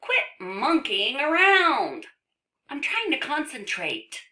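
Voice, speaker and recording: A woman's voice: high voice, wildly swooping pitch, slow, bright, forceful tone, voice pushed up, full character voice. A woman voicing a small wisecracking bookworm from a children's picture book, cheeky and exclamatory. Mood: annoyed. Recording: roomy echo.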